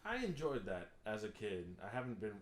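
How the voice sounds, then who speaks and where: A man's voice: lowish voice, big pitch swings, brisk, crowd noise. A man co-hosting a podcast in casual chat, chiming in with short remarks. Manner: brief interjections. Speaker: podcast co-host.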